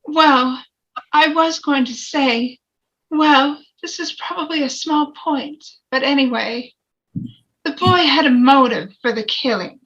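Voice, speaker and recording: A folksy, plain-spoken working man on a jury, self-assured and read by a woman in a high, bright voice. It is an amateur screenplay reading on a dull, lo-fi mic. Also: slow. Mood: earnest. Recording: dull, lo-fi microphone.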